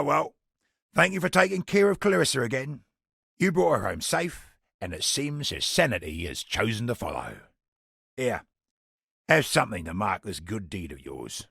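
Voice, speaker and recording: An actor playing a sardonic, roguish antiquities trader in a fantasy video game, with a gravelly, husky British voice and a wry, colloquial way of talking. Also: male voice, medium pitch, fairly bright tone, no room sound, clean studio sound, exaggerated voice. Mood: resigned, wry. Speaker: game non-player character merchant. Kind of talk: theatrical video game character dialogue.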